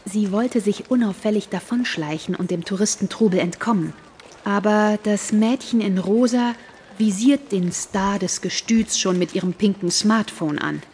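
A woman narrating a radio play in a calm storytelling manner. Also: mid-range voice, normal speed, a little hiss or hum.

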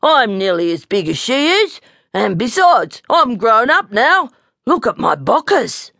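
Woman voicing a cocky, high-pitched little boy bear. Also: big pitch swings, a little slow, vocal fry, a bit bright, no room sound, clean studio sound, exaggerated voice. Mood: proud, boastful. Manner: cocky, defiant, scornful. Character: bold, naughty, boastful little boy koala bear. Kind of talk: children's storybook character voice.

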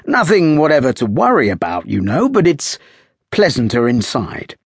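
Man playing clipped, firm young ship's officer, husky edge, dry close sound. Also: medium pitch, huge pitch swings, no echo, voice pushed up, heavily acted. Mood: brisk. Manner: brisk, clipped, polite but firm.

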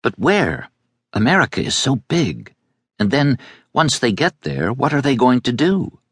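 A man voicing a hushed eleven-year-old girl who keeps asking questions. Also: mid-range voice, huge pitch swings, a little slow, husky, dry recording, studio quality, pitched above the performer's own voice, heavily acted. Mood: hushed wondering. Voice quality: soft, hushed.

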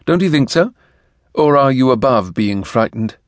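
A man's voice: medium pitch, lively intonation, normal speed, dry recording, lightly acted. A male reader voicing an awkward, proud young man in an audiobook, stiff and slightly huffy. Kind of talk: audiobook character voice.

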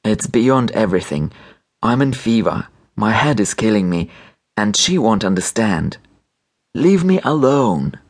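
A reader acts a sick, exhausted male doctor in a literary story. He mutters and stalls, halting between phrases. The tone is weary and grudging. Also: mid-range voice, very animated. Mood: irritable.

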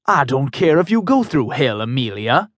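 A man's voice: medium pitch, sing-song, moderate pace, a bit bright, dry recording. A man voicing a bitter, menacing grieving father. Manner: menacing, scornful. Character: bitter grieving middle-aged man, threatening.

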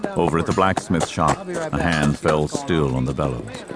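A man narrating a dramatized western audiobook in a measured storytelling style. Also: extremely low pitch, animated.

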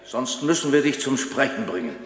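A man's voice: mid-range voice, animated, normal speed, reverberant, in a large hall, close to an everyday voice. An actor playing a sinister, domineering cult leader who threatens his captives in a radio play. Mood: menacing. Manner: menacing, commanding, mocking laughter.

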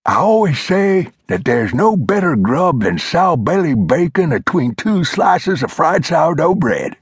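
Man putting on cranky old mountain man, high cackly Old drawl, teasing, husky, dry close sound. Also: very animated, unhurried, no room sound, exaggerated voice. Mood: folksy.

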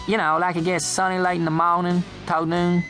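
A man's voice: high-pitched, level tone, fairly quick, sharp tone, faint music behind, pitched above the performer's own voice. A man voicing a raw-boned young country boy, telling a tale in a folksy drawl. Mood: earnest.

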